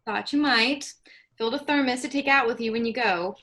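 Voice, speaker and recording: A woman reading the part of Candace, a caring farm wife who coaxes gently, with a Kentucky accent, in a staged play reading. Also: a little high, brisk. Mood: coaxing. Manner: gentle, coaxing.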